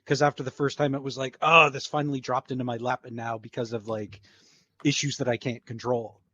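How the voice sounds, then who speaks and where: A man with a mid-pitched voice talks casually as an interview guest. The sound is close and dry but slightly dull and muffled. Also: little pitch movement, brisk, sharp tone, no echo. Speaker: guest voice actor.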